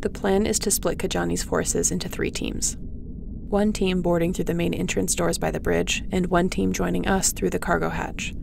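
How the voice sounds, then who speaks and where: A resolute, sardonic rebel captain, acted by a woman for a sci-fi audio roleplay. Her delivery is even and dry with heavy vocal fry. Faint ambience sits behind her commands. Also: normal speed, noisy background. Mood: determined, protective. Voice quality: creaky, low-toned.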